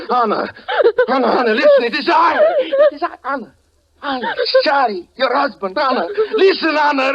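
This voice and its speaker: An actor playing a tormented, fervent stage magician and husband in 1940s radio suspense acting, melodramatic, swinging between hushed narration and anguished cries. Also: male voice, very high-pitched, exaggerated voice. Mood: desperate pleading. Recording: muffled vintage 1940s radio recording.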